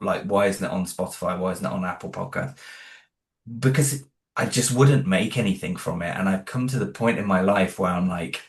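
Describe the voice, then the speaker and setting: Low-voiced British man in an echoey room. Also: fairly bright tone. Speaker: interview guest, independent audio drama creator. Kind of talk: casual interview chat. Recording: roomy, echoing room sound.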